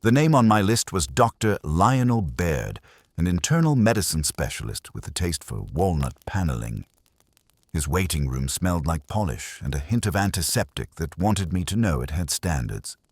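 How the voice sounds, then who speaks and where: A man narrates a first-person detective story in a wry, hardboiled way. His voice is very deep and varied in pitch, and the recording is close and dry. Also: sing-song, normal speed, no room sound.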